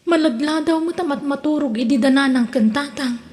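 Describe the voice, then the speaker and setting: A woman playing a gentle, conciliatory family member in an audio drama. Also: high-pitched, fairly quick, close to an everyday voice. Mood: concerned. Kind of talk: radio drama acting in Ilocano. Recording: long reverb on the voice.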